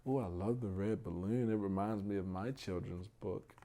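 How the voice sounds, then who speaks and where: A man hosting a bedtime read-aloud for young children, speaking in a hushed, gentle way and talking directly to his little listeners. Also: fairly low pitch, moderate pace. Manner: addresses young listeners directly with questions and praise.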